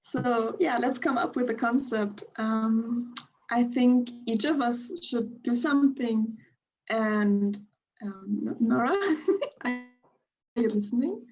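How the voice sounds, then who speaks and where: A young woman on an acted video call, talking slowly and hesitantly with many fillers. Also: a little high, darkish tone, highs cut off. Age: young adult. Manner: slow, hesitant, trailing with fillers.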